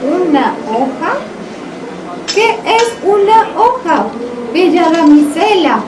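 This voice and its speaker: Bewildered, archaic peasant woman for radio drama, played by a woman; drawn-out delivery, distant noisy room. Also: extremely high pitch, sing-song, full character voice. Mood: puzzled. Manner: slow, archaic and theatrical speech. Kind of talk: amateur radio drama acting. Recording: roomy, distant, noisy ambience.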